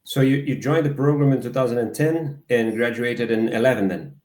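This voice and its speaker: A man hosting an interview, asking questions in halting, hesitant phrases full of fillers. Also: mid-range voice, a little mellow. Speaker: podcast host. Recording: echoey room.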